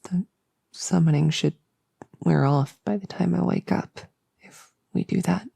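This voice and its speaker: A woman playing a nervous, lonely cultist who summons demons, in an intimate sleep-aid roleplay, stammering and rambling softly with breathy edges into a close microphone. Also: lowish voice, no echo, heavily acted. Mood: tender, shy. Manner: flustered, halting, stammering, rambling. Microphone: close, dry microphone.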